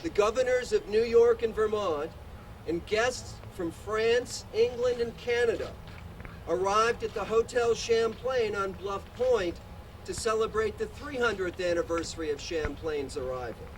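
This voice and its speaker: A man in his sixties, a museum director, giving a measured commemorative speech outdoors, reading dates and historical detail in a loud voice. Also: sits high. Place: outdoors at a podium in a park. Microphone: podium microphone, distant. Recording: distant podium mic, outdoor traffic hum.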